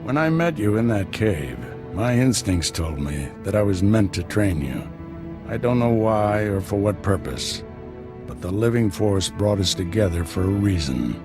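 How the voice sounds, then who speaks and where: Deep, seasoned Jedi mentor. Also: male voice, animated, unhurried, over music. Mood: calm, frank. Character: seasoned male Jedi master mentoring his apprentice, calm and frank. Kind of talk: video game voice acting.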